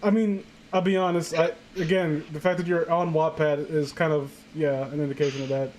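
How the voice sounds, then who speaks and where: A woman's voice: sits low, steady tone, fairly quick, darkish tone, a little hiss or hum, poor audio. A woman hosting a casual comedic reading, joking crudely and irreverently as she riffs with friends. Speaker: host of a story-reading show.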